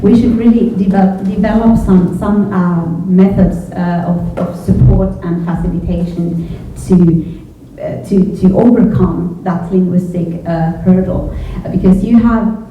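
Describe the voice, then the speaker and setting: Echoey, distant Finnish woman, halting speech. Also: lowish voice, steady tone. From Finland. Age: middle-aged. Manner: hesitant, halting, often repeating words. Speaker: panelist, journalism educator. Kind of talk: panel discussion, thoughtful spontaneous answers. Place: echoey indoor event room with a panel. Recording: echoey room from far off, phone-like, faint noise.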